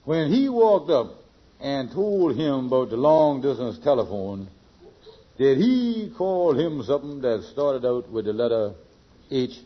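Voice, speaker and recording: An actor playing an excitable, bumbling schemer in an old radio sitcom, stammering and repeating himself in folksy, ungrammatical speech. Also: male voice, medium pitch, unhurried. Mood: bewildered, insistent. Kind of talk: old-time radio comedy acting. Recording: dull, faintly noisy vintage radio recording.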